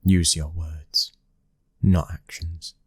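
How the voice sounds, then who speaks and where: Man acting a very low, husky British mafia heir. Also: little pitch movement, slow pace, no echo, clean studio sound, full character voice. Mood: menacing, commanding. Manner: terse, domineering, menacing. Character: cold, commanding twenty-one-year-old mafia boss's son. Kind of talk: ASMR roleplay acting.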